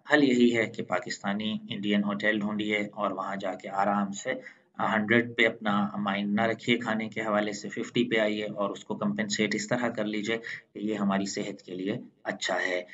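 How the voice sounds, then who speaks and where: A man, hosting a channel and talking through a mind map lesson in a measured, explanatory way. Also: on the low side, a bit bright, highs cut off. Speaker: channel host.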